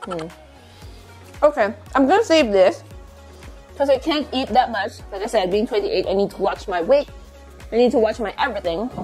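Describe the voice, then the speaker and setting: A woman in her late twenties chatting casually in a bubbly, giggly way, talking into a clip-on mic in a small apartment. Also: fairly high pitch. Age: 20s. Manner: bubbly, giggly, sings and repeats phrases. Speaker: vlogger. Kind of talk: casual vlog chat. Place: small apartment room, indoors. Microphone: clip-on lapel mic.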